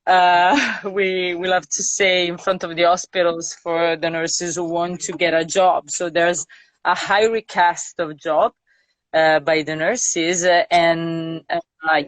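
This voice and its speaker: A woman speaks in a slightly low voice. Her English is thoughtful and often broken by fillers as she talks through her nursing work. The sound is dry and close. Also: dry recording. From Italy. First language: Italian. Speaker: nurse. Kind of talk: casual interview.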